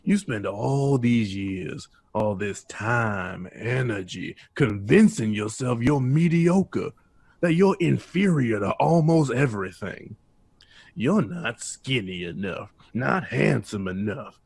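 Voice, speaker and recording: An actor playing a wise, blunt old man with a deliberate, oratorical manner in an audio drama. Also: medium pitch, animated, creaky, darkish tone, breathy edge, dry recording, full character voice. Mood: earnest counsel. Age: older.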